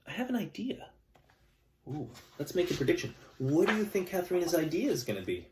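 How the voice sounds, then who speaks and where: A man, an elementary school teacher, reading a picture book aloud to his students with gentle, calm storytelling and chatty asides to the kids. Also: sits high, fairly quick, noisy background.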